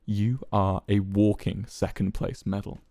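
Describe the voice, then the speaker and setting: A man's voice: medium pitch, very animated, a little fast, dry recording. A man voicing a proud, unstable disgraced man in a story, with a stammering, agitated delivery. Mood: mocking, furious.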